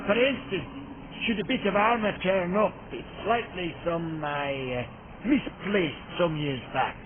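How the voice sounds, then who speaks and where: An actor playing a jovial, boastful maker in a fantasy video game, with a folksy, wry way of talking. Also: male voice, sits high, measured pace. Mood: sly, friendly. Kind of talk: video game cutscene acting. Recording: tinny band-limited audio with noisy ambience.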